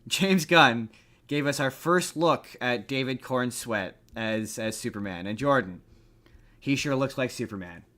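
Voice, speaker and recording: Male podcast host, forceful bright voice, casual and comedic, animated delivery that leans on repeated phrases. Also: mid-range voice, measured pace. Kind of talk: casual comedic chat about pop culture.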